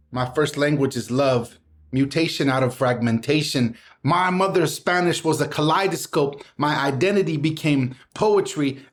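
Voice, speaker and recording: A middle-aged man from Southern California, a poet reciting his work with a rhythmic spoken-word cadence, then talking casually in an interview. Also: a little high. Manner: rhythmic spoken-word delivery, mixing in Spanish words. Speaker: poet and guest.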